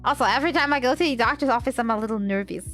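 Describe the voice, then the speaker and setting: A woman's voice: a little high, racing, fairly bright tone, faint music behind. A woman livestreamer with a slight cold, chatting casually in a hesitant, rambling way.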